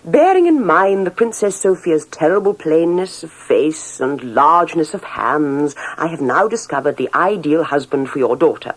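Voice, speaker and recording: A woman's voice: sits low, expressive, poor audio. A woman voicing a pompous, long-winded male royal chamberlain in a children's story, with an English RP accent. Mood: pompous, proud. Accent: English Received Pronunciation. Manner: pompous, ornate, deliberate.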